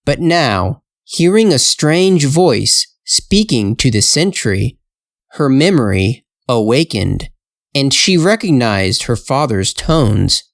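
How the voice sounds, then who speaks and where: A male storyteller reads a fairytale very slowly for learners. His phrasing is careful and measured, his pitch sits in the middle, and the sound is dry and close. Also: big pitch swings, vocal fry, no room sound. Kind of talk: slow scripted fairytale narration for English learners.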